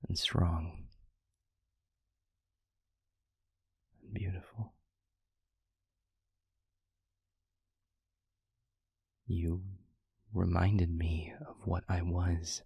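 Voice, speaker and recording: A man playing a gentle, weary demon in an intimate audio roleplay, with a soft, halting, breathy delivery that often nears a whisper. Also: low voice, dry recording, exaggerated voice. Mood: tender, earnest. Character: gentle, weary male demon, tender and guarded.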